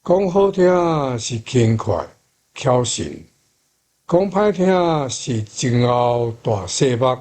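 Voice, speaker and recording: A man with a Taiwanese accent giving measured example translations as a language teacher. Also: mid-range voice, animated, a little mellow.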